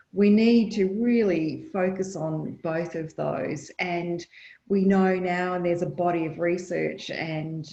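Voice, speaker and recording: A woman's voice: lowish voice, warm tone. A woman speaking on a panel, earnest and thoughtful, with many fillers and restarts, heard over a video call. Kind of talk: panel discussion answer. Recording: echoey, dull lo-fi video call.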